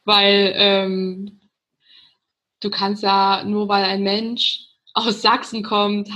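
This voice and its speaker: Saxony woman, bright sharp voice, lots of ähm and so, casual podcast chat. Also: medium pitch. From Saxony, Germany. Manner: casual, filler-heavy, laughs softly. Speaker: podcast co-host. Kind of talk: conversational podcast discussion.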